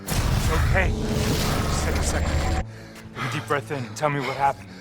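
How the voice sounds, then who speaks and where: A man's voice: a little high, moderate pace, close to an everyday voice. Man playing a brash brotherly soldier, music behind. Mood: reassuring. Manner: casual, slangy, swearing. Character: brash, brotherly male soldier squadmate, reassuring. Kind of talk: video game cinematic acting.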